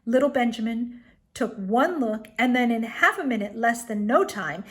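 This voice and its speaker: Lady reading a kids storybook out loud, highish voice, really animated and expressive. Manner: expressive, dramatic pauses and emphasis. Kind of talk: animated children's story read-aloud.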